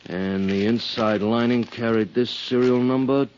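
An actor playing a bitter, wheedling ex-convict in a 1950s radio drama, speaking in an aggrieved, halting, insistent way. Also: male voice, medium pitch, steady tone, darkish tone, slight background noise, natural voice. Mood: bargaining. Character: bitter, wheedling male ex-convict swindler, middle-aged. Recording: muffled 1950s radio recording.

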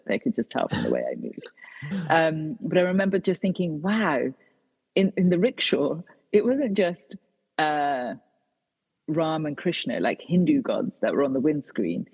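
Breathy British woman, hesitant. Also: low voice, darkish tone, long reverb tail, rough recording. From Britain. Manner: hesitant, many fillers and restarts. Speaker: author and guest. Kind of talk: conversational interview answers.

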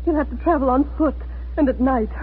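Young daughter played by a woman: high, pleading, loyal, 1940s radio drama, scratchy narrow recording. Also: brisk, natural voice. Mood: worried. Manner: earnest, pleading. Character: distressed, loyal young daughter of persecuted family.

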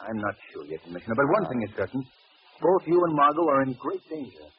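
An actor playing an earnest, decisive gentleman detective, well-spoken and urgent, in an old radio mystery. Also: medium pitch, big pitch swings, quick pace, lightly acted. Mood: grave, decisive. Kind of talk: 1940s radio acting. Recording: muffled old radio recording, faint noise.

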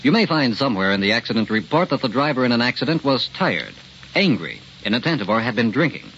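A dull old radio recording with faint noise. A male announcer of middling pitch reads a scripted public service message in vintage broadcast style. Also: very animated, quick pace, a bit bright.

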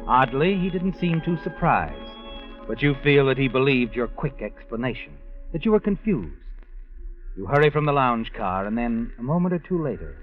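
An actor playing a knowing, ominous narrator who tells an old radio mystery to its heroine. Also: male voice, mid-range voice, big pitch swings, moderate pace, lightly acted. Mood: knowing, suspenseful. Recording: dull vintage radio recording. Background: dramatic music.

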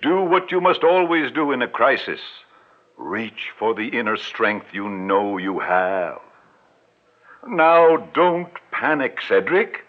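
An actor playing a grandiose, smooth-talking older con man who lectures in a pompous, fatherly way, in a 1940s radio drama. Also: mid-range voice, very animated, slow pace. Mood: coaxing. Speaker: swindler. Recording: tinny old radio broadcast.

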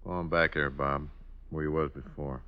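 An actor playing a cool, menacing American outlaw leader in an old-time radio western, laconic and commanding. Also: male voice, very deep voice, level tone, moderate pace, a little hiss or hum. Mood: curt, wary. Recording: dull vintage radio recording.

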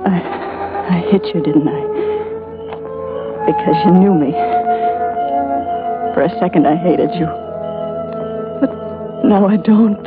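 A woman's voice: on the low side, lively intonation, takes it slowly, pauses often, phone-quality sound. An actress playing a desperate, scheming seductive woman in a melodramatic, pleading 1940s radio drama style. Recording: band-limited vintage radio recording with music.

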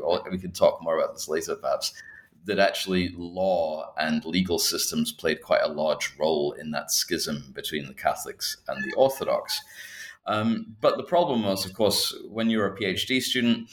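A man from Scotland with a low voice talks as a scholarly interview guest. He explains at length in a conversational way. His delivery is measured and somewhat hesitant, with digressions along the way. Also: a bit bright. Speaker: guest academic, history professor.

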